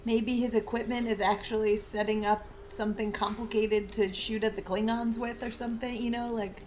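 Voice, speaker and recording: Higher-pitched woman, hesitant game chat. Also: flat delivery, normal speed, darkish tone, slight background noise, poor audio. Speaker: player in an actual-play show. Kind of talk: casual tabletop game chat.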